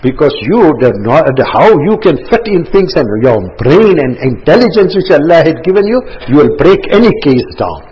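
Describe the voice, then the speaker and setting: A man's voice: on the high side, very animated, normal speed, few pauses, slightly rough. A man in his sixties giving a religious talk to a seated gathering indoors, rambling and repetitive, full of anecdotes. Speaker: religious teacher. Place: indoor gathering room with carpet. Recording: dull lo-fi recording.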